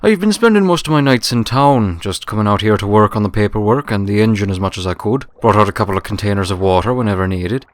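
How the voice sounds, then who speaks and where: A man's voice: on the low side, quick pace, hardly any pauses, lightly acted. A man voicing an elderly earth pony manservant in a storybook, deferential, folksy and plain-spoken. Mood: matter-of-fact. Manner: plain-spoken, polite, understated.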